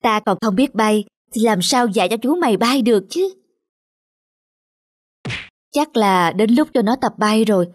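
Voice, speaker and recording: A woman's voice: a little high, lively intonation, measured pace, pauses often, no echo, studio quality. A woman voicing an anxious male cat in a cartoon, an earnest, worried foster parent. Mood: concerned. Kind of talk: cartoon character acting.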